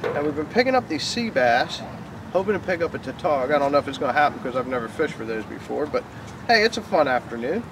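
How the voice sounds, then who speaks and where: Middle aged guy hosting a fishing vlog, folksy, kinda high voice, far from camera outside. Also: big pitch swings, quick pace, background noise. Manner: folksy, informal. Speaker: host of a fishing show. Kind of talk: casual outdoor vlog talk. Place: outdoors on a waterfront fishing pier and bench. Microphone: camera a few metres away. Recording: distant outdoor camera sound under loud music.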